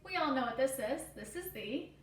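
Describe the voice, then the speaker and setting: A woman teacher reading a children's story aloud deliberately, with simple explanatory asides. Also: high-pitched, animated. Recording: roomy echo, distant mic, faint noise.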